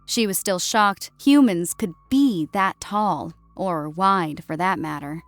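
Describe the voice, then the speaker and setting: A woman's voice: fairly low pitch, slow pace, no echo, faint music behind. A woman reading scripted fiction as an audiobook narrator. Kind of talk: scripted story narration with character dialogue. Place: close, dry recording with music behind.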